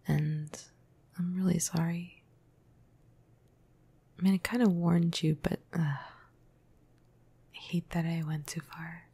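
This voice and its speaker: A woman acts out a tender, flirty female vampire in an ASMR roleplay. Her low, slow voice slips between husky murmurs and whispers, close and intimate. The delivery is casual, hesitant and teasing. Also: fairly even intonation, heavily acted. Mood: apologetic, tender. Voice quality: breathy, soft, husky, low. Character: flirty, apologetic, caring female vampire crush. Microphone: close microphone, dry.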